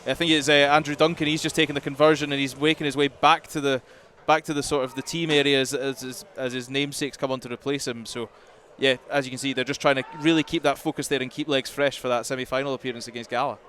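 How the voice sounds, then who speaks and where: Fast-talking male rugby pundit. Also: mid-range voice, little pitch movement, fairly bright tone. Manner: chatty, running explanations peppered with "you know". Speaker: rugby co-commentator. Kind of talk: conversational match analysis. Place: commentary box at a rugby ground. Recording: faint outdoor ground noise.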